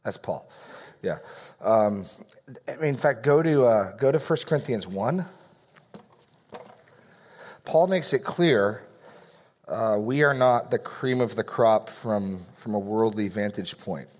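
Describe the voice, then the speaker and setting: Man teaching a lower-pitched Bible study. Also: lively intonation, takes it slowly, long pauses, darkish tone. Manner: rambling, self-correcting, joking asides. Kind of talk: casual teaching with Q&A.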